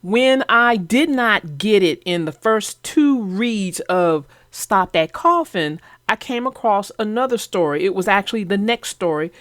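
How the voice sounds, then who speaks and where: A woman's voice: mid-range voice, animated, unhurried, few pauses, a bit bright, no room sound, studio quality. A woman host who narrates children's books, chatting casually and expressively. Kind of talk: casual chat about a children's book.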